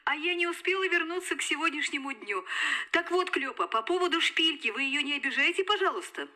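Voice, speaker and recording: Kindly schoolteacher, very bright high voice, polite, gently instructive, children's show. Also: female voice, expressive, fairly quick. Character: kindly adult female schoolteacher calling from abroad.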